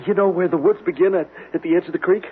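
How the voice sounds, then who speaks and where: Flustered small-town American mailman played by a man, very high male voice, stammering, tinny hissy vintage radio. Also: steady tone, fast talker, lightly acted. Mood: flustered, shaken. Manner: stammering, breathlessly urgent, folksy. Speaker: radio actor. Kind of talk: 1970s radio drama acting. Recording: tinny, band-limited old radio, faint hiss.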